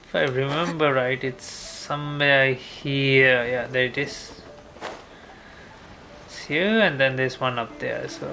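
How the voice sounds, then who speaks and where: A man giving casual, unhurried gameplay commentary, thinking aloud as he goes. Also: medium pitch, gravelly, sharp tone, slight background noise. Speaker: game streamer. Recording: game music behind voice. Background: video game music.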